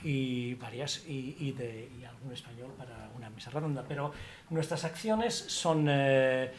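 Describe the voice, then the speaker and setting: A man's voice: mid-range voice, unhurried, slight background noise. A middle-aged man, academic director of an institute, speaking on a panel, rambling with many restarts. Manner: rambling, many restarts and fillers, informal asides. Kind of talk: panel talk at a colloquium.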